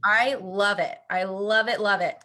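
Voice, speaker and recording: A woman co-hosting a children's bilingual read-aloud over a video call, speaking with expressive enthusiasm. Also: mid-range voice, unhurried. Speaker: bilingual language teacher and read-aloud co-host.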